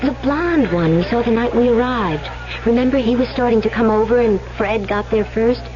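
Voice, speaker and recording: A woman's voice: a little high, normal speed, a little mellow, lightly acted. An actress playing a naive, easily rattled young woman in an old-time radio drama, girlish and hesitant. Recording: dull old radio recording.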